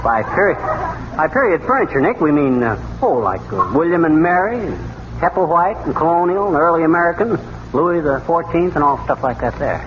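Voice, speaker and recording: A man plays a folksy, scheming bumbler in a 1930s radio comedy. His high voice moves in lively swings as he hems and haws. The broadcast is dull and buried in heavy noise. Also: fairly quick, close to an everyday voice. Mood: puzzled, correcting. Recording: very noisy, muffled 1930s radio recording.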